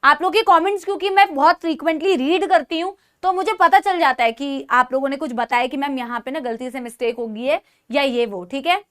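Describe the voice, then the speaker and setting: A woman's voice: high voice, brisk, fairly bright tone. A woman teaching a live online biology class with a sore throat, speaking Hindi mixed with English, calling her students beta and checking in with "theek hai?" after nearly every point. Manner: mixes English phrases into Hindi speech.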